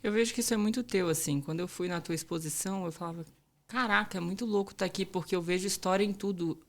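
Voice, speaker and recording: A woman's voice: fairly low pitch, fast. A woman hosting a podcast interview in a casual, upbeat, chatty way. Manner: upbeat, chatty, uses informal "tu". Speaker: podcast host.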